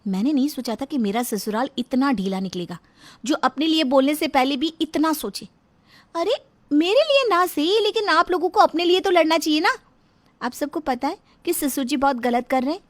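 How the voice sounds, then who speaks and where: A woman's voice: high-pitched, expressive, normal speed, voice pushed up. A woman voicing a bold, outspoken young daughter-in-law who argues indignantly in a moral story. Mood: challenging. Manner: indignant, argumentative. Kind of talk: acted dialogue in a moral story.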